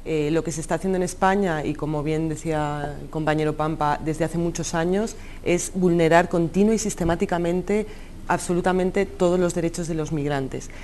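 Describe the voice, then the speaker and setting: Firm low-voiced woman lawyer. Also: fairly even intonation, normal speed, darkish tone, slight background noise. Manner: firm, insistent. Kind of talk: studio debate.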